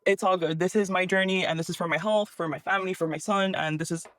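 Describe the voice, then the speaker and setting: A woman's voice: on the low side, fairly even intonation, fairly bright tone, no echo. A woman from Miami with a Miami accent answers viewer questions in an upbeat, reassuring vlog. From Miami, Florida. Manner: upbeat, reassuring, rapid talk. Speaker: vlogger. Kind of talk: talking-head vlog.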